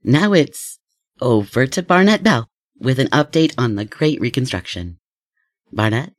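An actor playing a formal news anchor and announcer in an audio drama, with a scripted broadcast delivery. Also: male voice, medium pitch, animated, unhurried, no echo, lightly acted.

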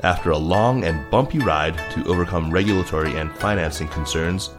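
A man hosting a business podcast, reading scripted news in a measured, formal register. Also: sits low. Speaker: podcast host. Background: plucked guitar music.

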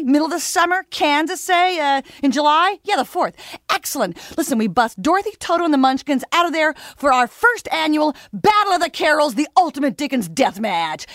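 A woman's voice: sits high, a bit bright, no room sound, clean studio sound. A woman performing a brash, boastful, combative theatre adapter in a comic monologue, cocky and punchy.

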